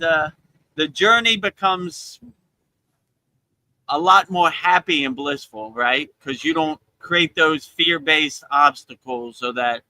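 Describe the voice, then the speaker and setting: A man's voice: a little high, pushed and bright, poor audio. A man from Miami, Florida, hosting a live spiritual talk and telling stories in a chatty way, dropping in "you know" often and laughing between points. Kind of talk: casual spiritual storytelling. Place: outdoors in Thailand.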